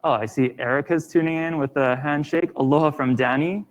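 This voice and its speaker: A man's voice: fairly high pitch, fairly quick. A man co-hosting a live candidate event, friendly and conversational as he reads out names and welcomes people. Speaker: event co-host.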